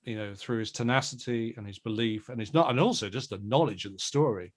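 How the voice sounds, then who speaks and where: A man's voice: mid-range voice, quick pace. A middle-aged man giving a reflective, earnest and emphatic interview answer as a producer. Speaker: audio drama producer and director, interview guest.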